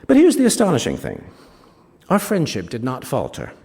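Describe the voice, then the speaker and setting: An actor playing a wry male British novelist, reading a first-person literary story in an expressive, savouring way with small asides. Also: mid-range voice, moderate pace. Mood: wry, confiding. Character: wry male British novelist recounting a rivalry with his friend. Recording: reverberant hall, stage reading.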